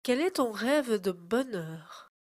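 A woman reading a scripted voice-over and putting interview questions in a clear, measured way. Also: fairly high pitch, expressive, darkish tone, no echo. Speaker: narrator and interviewer.

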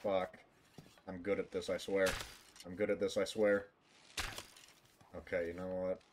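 A man with a very low voice streams a video game. He comments casually, jokes and swears as he talks to his viewers. There is clear noise behind him, with some clicking. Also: little pitch movement, a little slow. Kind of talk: casual live gameplay commentary.

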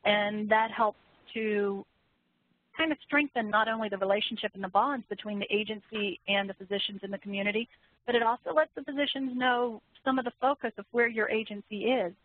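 A woman moderates a panel Q&A, fielding questions for her guests. Her voice sits at a medium pitch. It comes through a dry, phone-like line with narrow bandwidth. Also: fairly even intonation, brisk, no room sound. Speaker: webinar moderator.

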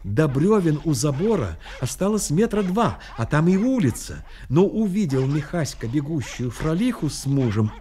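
A man narrating a Soviet radio play dramatically, with intense, measured storytelling. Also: on the high side, sing-song, warm tone. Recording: old radio recording with noisy effects behind.

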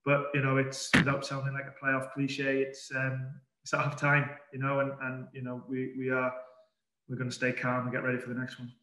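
A middle-aged man, a football manager, answering press questions calmly over a video call, with many fillers and restarts. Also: mid-range voice, brisk. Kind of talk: post-match press conference. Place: indoor press room in front of a sponsor board. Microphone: webcam on a video call. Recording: echoey room.

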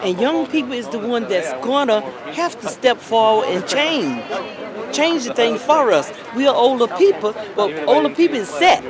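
A woman's voice: a little high, big pitch swings, moderate pace, a crowd in the background. Emphatic woman in her seventies. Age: 70s. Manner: plain-spoken, emphatic. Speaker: rally attendee. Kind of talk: street interview.

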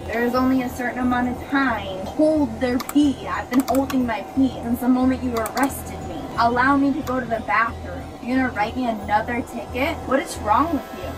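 A woman's voice: on the high side, moderate pace, hardly any pauses, over music, poor audio. A young adult woman in a heated confrontation, argumentative and insistent, repeating herself, caught on police cameras. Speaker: arrested suspect. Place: back of police car and just outside at night. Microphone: police car camera and officer's body camera.

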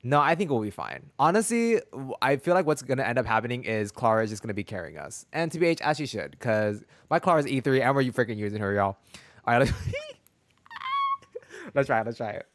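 A man's voice: medium pitch, very animated, fairly quick, dry recording. A young man chatting casually on a gameplay livestream in a slangy, rambling, playful way. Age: young adult. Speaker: video game streamer. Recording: faint game music behind.

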